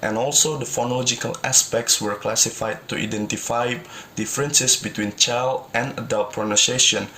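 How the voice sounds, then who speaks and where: A man's voice: mid-range voice, steady tone, moderate pace, few pauses, bright, forceful tone, slight background noise. A young adult man giving a student presentation, reading from notes and often linking points with "and also". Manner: reads from notes, repeats "and also". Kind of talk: class presentation.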